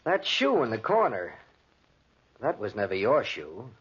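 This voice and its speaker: An actor in a 1940s radio drama playing a stranded hunter who is polite but wary, speaking in a hesitant, placating way. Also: male voice, on the low side, wildly swooping pitch, unhurried, fairly bright tone, husky, exaggerated voice. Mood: wary, probing. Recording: dull, muffled 1940s radio recording.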